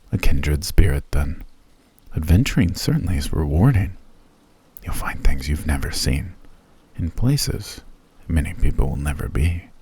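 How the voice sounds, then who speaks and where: A man voicing a gracious elf who has lived for centuries, speaking in an ASMR roleplay. His voice is very deep, mellow and husky, and it sits dry and close to the mic. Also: no room sound, full character voice. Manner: gracious, formal and softly spoken. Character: ancient male elf host, courteous and genteel.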